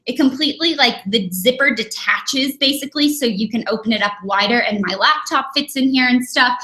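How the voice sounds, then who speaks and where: A young woman talks casually on a live stream from her dining room, her voice bright and slightly high. She rambles with plenty of fillers and sounds a little sniffly from a cold. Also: fairly quick. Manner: chatty, rambling, many fillers. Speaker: beauty vlogger.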